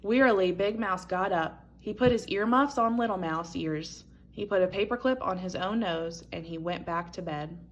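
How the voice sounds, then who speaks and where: A woman reading a children's picture book aloud as an expressive storyteller. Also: on the low side, normal speed, hardly any pauses, slight background noise.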